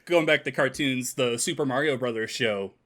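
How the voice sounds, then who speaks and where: A man with a medium-pitched voice talks as one of a podcast panel. His style is casual and conversational, with the keen manner of a fan. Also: moderate pace, fairly bright tone. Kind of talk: casual chat about games and movies.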